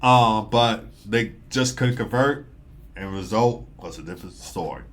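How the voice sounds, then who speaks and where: A man's voice: fairly low pitch, unhurried. A man hosting a live hockey podcast, talking through the game in a rambling casual way with frequent fillers and repeats, a bit sniffly from a stuffy nose. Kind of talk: casual live sports analysis.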